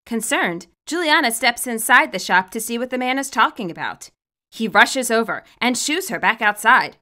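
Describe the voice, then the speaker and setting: A woman narrates fan fiction from a script in a high, bright voice. Her delivery is expressive, with lively swings in pitch. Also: moderate pace. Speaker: author reading own fan fiction.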